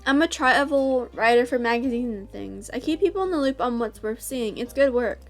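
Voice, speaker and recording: A woman's voice: on the high side, animated, moderate pace, soft background music. A woman with a stuffy nose reading the lines of a friendly, easygoing mother of a teenage boy in a visual novel, lightly teasing. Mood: breezy.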